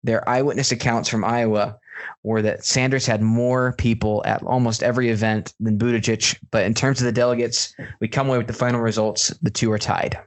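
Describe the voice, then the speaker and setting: A man's voice: medium pitch, level tone, brisk. A man co-hosting a podcast, explaining things step by step in an informal, hedging way. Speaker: podcast co-host. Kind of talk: casual explanatory podcast chat.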